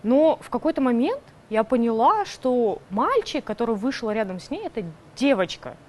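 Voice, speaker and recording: A young woman in her mid-twenties from Kazakhstan speaks in a somewhat high, bright-toned voice. She recounts an anecdote with lively, animated delivery during a documentary interview. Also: moderate pace. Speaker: drag performer.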